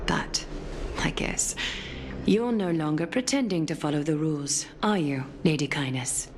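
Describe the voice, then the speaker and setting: An actress playing an ageless, dignified demigod lady in a video game, speaking in a measured, formal, coolly disdainful way. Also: low-pitched, background noise. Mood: solemn, cold. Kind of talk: dramatic video game voice acting.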